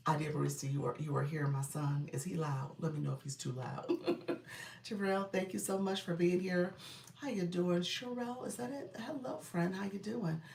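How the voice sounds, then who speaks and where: A congested woman in middle age chats casually with viewers on a live stream, calling out names and thanking them. Her voice sits low with some gravel in it. The mic is set back, so the room rings around her. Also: far from the mic, a little hiss or hum. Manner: greets viewers by name, chatty and upbeat. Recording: roomy sound, from off the mic.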